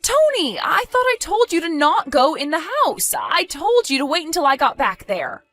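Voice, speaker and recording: Woman acting a high-pitched, pleading cheating wife. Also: big pitch swings, normal speed, fairly bright tone, exaggerated voice. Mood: flustered, defensive. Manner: pleading, defensive. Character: panicked, pleading cheating wife, evasive and desperate. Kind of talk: acted audio story dialogue.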